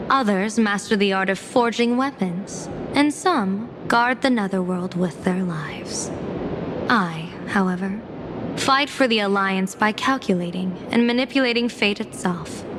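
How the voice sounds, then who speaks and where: A woman playing an enigmatic, playful female general and fortune-teller in a video game, speaking formally in measured, theatrical phrases. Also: mid-range voice, background noise, close to an everyday voice. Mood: composed, portentous. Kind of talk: scripted video game voice acting. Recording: loud music behind the voice. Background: game music.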